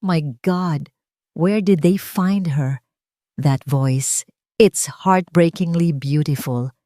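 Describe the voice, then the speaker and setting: A woman narrator voicing awestruck party guests murmuring with slow, hushed admiration in an audio story. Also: lowish voice, dry recording, studio quality. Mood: awed.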